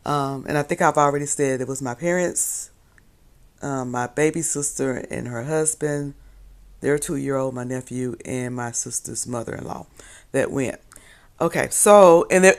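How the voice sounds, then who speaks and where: A hoarse woman vlogger chatting casually to her viewers, rambling and correcting herself as she goes. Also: low-pitched, steady tone, moderate pace. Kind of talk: casual chat to camera.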